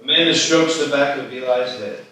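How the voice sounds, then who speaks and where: Bright-voiced man narrating, very echoey. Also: medium pitch, normal speed, recorded from a distance. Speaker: screenplay narrator. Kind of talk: scripted stage-direction reading at live table read. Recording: very echoey room, off the mic.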